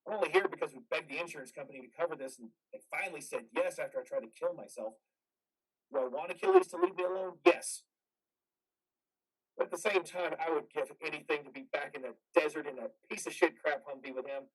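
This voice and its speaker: An actor playing a traumatized, bitter and weary combat veteran in a modern stage play reading, with a husky voice. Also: male voice, fairly high pitch, expressive, quick pace, rough recording. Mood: bitter, raw.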